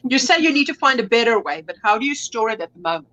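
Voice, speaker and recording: A woman's voice: fairly high pitch, very animated, fast, sharp tone, rough recording. A woman with an Afrikaans accent, a native Afrikaans speaker, chatting as a guest on a livestream and often searching for the right English word. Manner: chatty, searches for words and restarts. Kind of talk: casual livestream chat.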